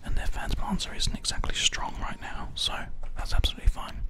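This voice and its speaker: A gaming host whispering ASMR gameplay commentary very close into a binaural microphone. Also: fairly quick. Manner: hushed whispering throughout. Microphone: close binaural microphone, ear to ear.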